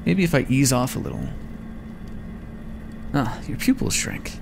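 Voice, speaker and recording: Man acting a creaky-voiced, condescending vampire experimenter. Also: medium pitch, sing-song, a little slow, background noise. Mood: clinical, musing. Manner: condescending, teasing, musing aloud. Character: clinical, smug male vampire experimenter toying with captive. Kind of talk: ASMR roleplay, intimate scripted monologue.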